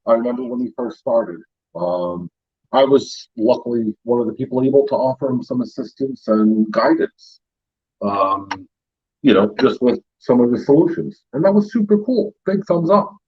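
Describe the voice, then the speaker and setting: American man, husky, rambling with fillers, middle-aged, lo-fi sound. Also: mid-range voice, animated, moderate pace. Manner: rambling, with many fillers and laughs. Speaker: YouTube channel host; retired paramedic. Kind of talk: casual live-stream chat.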